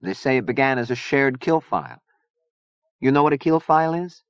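A man's voice: mid-range voice, lively intonation, brisk, clean tone, no room sound, dull audio, pitched above the performer's own voice, natural voice. A man voicing a fierce, hot-tempered young woman gang leader in an audiobook. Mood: explaining. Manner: combative, profane, imperious. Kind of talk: audiobook character dialogue.

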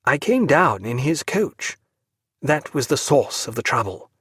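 A rueful ship's doctor tells his tale in an earnest, apologetic way, as a male reader voices him. His voice rises and falls with lively swings. The recording is dry and close. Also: mid-range voice, unhurried, slightly rough, dry recording, full character voice. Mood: recounting. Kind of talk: audiobook character voice.

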